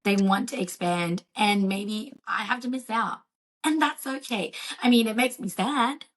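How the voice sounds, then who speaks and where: Young woman, mid-pitch, a little croaky; enthusiastic podcast co-host. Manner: enthusiastic, quick to agree. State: slightly croaky from karaoke. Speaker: podcast co-host, F1 content creator. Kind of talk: casual chat.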